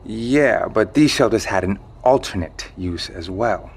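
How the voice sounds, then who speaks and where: Male storyteller, creaky, husky, wry, measured, hesitant pauses, audio drama dialogue. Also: fairly low pitch, lively intonation, full character voice. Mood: wry, suspenseful. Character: measured man recounting a secret legend, wry.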